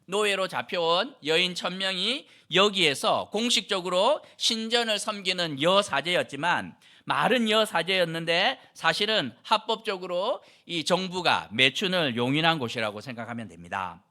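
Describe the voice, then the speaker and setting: A man's voice: high-pitched, lively intonation, normal speed, few pauses, sharp tone. A middle-aged male pastor from Andong preaching a sermon in an explanatory, conversational way, posing rhetorical questions to the congregation. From Andong, South Korea.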